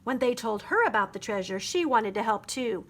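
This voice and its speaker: High-voiced woman reading a children's story. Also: expressive, normal speed, fairly bright tone. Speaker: children's librarian reading aloud. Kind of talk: expressive picture book storytime reading.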